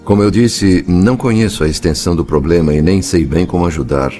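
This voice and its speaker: A man's voice: deep voice, fairly quick, dark tone, faint music behind, close to an everyday voice. An actor playing a compassionate, earnest male spirit friend in an audio drama, speaking in a solemn, consoling way. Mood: earnest resolve.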